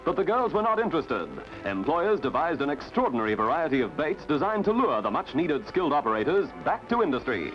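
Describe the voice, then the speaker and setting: A male newsreel narrator with a fairly high, very lively voice. He declaims his script formally. Music plays behind him on a dull, band-limited old soundtrack. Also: fairly quick, hardly any pauses.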